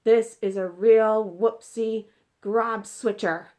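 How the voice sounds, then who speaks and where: A woman's voice: a little high, steady tone, slow pace, darkish tone, voice pushed up. A woman voicing an indignant, distressed giant from a storybook, exclaiming in mangled grammar and drawing out words emphatically. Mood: exasperated, dramatic.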